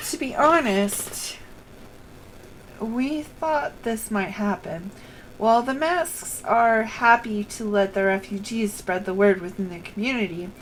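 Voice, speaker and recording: A woman's voice: mid-range voice, slight background noise, low-quality recording. A woman game streamer carefully reading on-screen dialogue aloud, announcing each speaker before the line.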